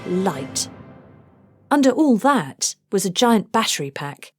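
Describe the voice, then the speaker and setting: A woman narrating a children's storybook aloud, with deliberate, rhythmic delivery of rhymed phrases. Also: medium pitch. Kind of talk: scripted storybook read-aloud.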